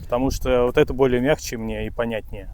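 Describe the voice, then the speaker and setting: A man who tests kiteboards gives his opinions in short, plain sentences during a casual chat. His voice has a medium pitch. Faint outdoor noise sits in the background. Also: fairly even intonation, fast, a little mellow. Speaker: fellow kiter and tester.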